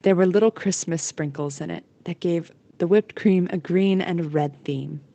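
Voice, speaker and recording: A woman's voice: lowish voice, normal speed, dark tone, no room sound. A woman narrating a scripted story as the narrator of an audio play.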